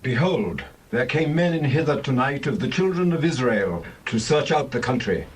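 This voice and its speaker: A royal informant played by a man reports formally in a biblical audio drama. The husky, medium-pitched voice sounds set back from the microphone. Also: expressive, moderate pace. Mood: alert. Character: male informant reporting intruders to a king. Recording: distant from the microphone.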